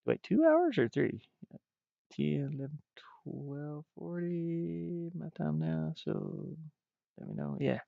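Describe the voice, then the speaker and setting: Male indie developer streamer, low and slow-paced, casual and hesitant, trailing off; dull, close stream audio. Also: huge pitch swings, creaky, dry recording. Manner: hesitant, halting, frequently trailing off. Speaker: game developer livestreaming.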